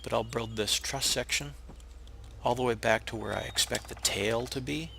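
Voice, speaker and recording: A hoarse, raspy man who is feeling unwell, casually talking viewers through a game build step by step with plenty of pauses and restarts. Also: mid-range voice, slight background noise. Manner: relaxed, explanatory, with frequent uh fillers and restarts. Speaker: game tutorial narrator. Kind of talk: casual step-by-step gameplay tutorial.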